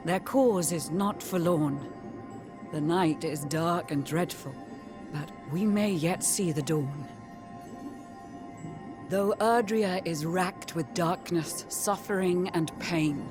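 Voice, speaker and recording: An actress playing a solemn storyteller in a fantasy video game, narrating in a grave, poetic, measured way. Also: female voice, fairly low pitch. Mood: solemn hope. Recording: music clearly under the voice.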